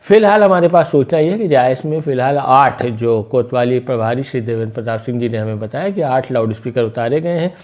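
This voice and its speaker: Male local news presenter, soft-edged mellow tone, mid pitch, explains step by step conversationally. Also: a little fast, hardly any pauses.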